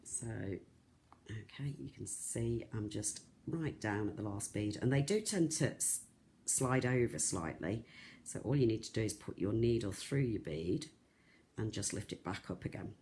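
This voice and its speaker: Craft host, croaky and soft, chatty live demo with frequent fillers and restarts. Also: medium pitch, animated, normal speed, a little hiss or hum. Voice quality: soft, not loud. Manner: chatty, rambling, lots of ums and restarts. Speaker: craft shop tutor. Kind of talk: live-streamed beading tutorial.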